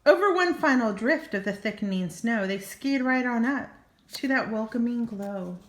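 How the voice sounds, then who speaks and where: Older woman reading a storybook, higher voice. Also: normal speed. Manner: expressive, with small asides to the child. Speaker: grandmother reading to a child. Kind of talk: children's storybook read-aloud. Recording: echoey room.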